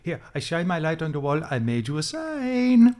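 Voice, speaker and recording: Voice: mid-range voice, very animated, normal speed, a little mellow, lightly acted. An actor playing an eccentric East German scientist with a German accent in a comedic audio drama, effusive and rambling with absurd earnestness. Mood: adoring.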